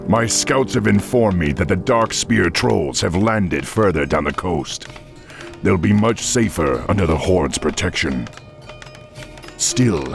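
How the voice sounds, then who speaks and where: An actor playing a noble, steadfast orc war chief in a fantasy game, gravelly and authoritative, speaking in a measured way. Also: male voice, sits low, full character voice. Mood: grave, approving. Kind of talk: fantasy game character acting. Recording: music under the voice.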